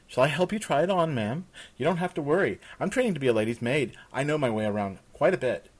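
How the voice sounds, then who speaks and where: A man's voice: on the high side, animated, quick pace, voice pushed up. A man voicing an eager, deferential young servant girl with polite, old-fashioned speech in a fantasy story. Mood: eager, reassuring. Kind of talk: fantasy audiobook character.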